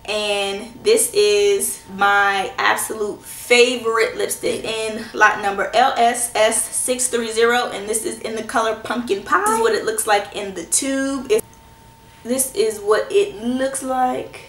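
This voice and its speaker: A woman in her twenties, a little hoarse from a cold, reviewing lipsticks in a chatty, reassuring way, teasing herself now and then. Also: fairly high pitch, steady tone, a little slow, a bit bright. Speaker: beauty vlogger. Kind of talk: casual product review. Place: small indoor room at home. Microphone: camera in front at close range. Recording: echoey room.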